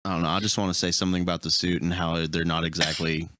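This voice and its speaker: A man on a podcast panel chatting casually about a film in a tentative, halting way. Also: sits low, level tone, pushed and bright, a little hiss or hum. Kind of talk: casual film discussion chat.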